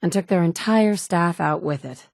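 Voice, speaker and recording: A tough, sardonic wasteland survivor with a low-ish woman's voice, blunt and dry, tossing off sarcastic asides. The studio sound is close and completely dry, with no room around it. It sounds like polished game dialogue. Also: normal speed, gravelly, a little mellow, no room sound. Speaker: player character. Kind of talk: video game voice acting. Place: studio booth. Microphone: close studio mic.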